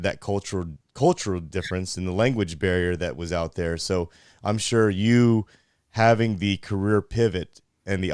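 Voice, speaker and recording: A man in his thirties hosting a podcast interview, asking measured, affirming questions. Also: low voice, darkish tone, clear, resonant tone, no echo, clean studio sound. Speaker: podcast host. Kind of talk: conversational interview.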